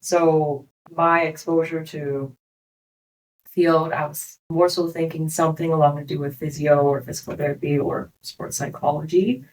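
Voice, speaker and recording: A young adult woman from Hong Kong, speaking as a guest on a business podcast interview, reflective and unhurried, laughing often. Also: low voice, steady tone, a little mellow, poor audio. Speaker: clinic co-founder, guest.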